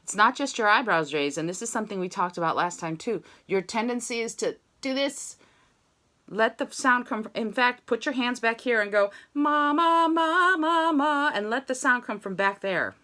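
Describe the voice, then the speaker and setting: Woman, voice teacher, lively pitch, encouraging, sings short demo scales, online lesson. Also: normal speed. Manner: encouraging, demonstrates sung vowels.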